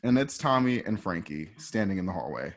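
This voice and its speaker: Animated man narrating a radio play. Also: deep voice, brisk. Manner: conversational, with colloquial phrasing. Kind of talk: casual narration of screenplay action.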